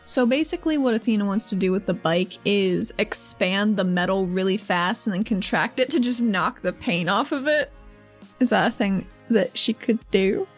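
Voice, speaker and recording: A woman's voice: medium pitch, lively intonation, normal speed, faint music behind. A woman playing a tabletop role-playing game, chatting casually and describing what her character does. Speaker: player.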